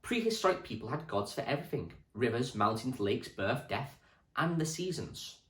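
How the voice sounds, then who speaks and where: A man, a primary school teacher, reading a children's history book aloud with clear, expressive storytelling for children. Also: medium pitch, normal speed. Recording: echoey room.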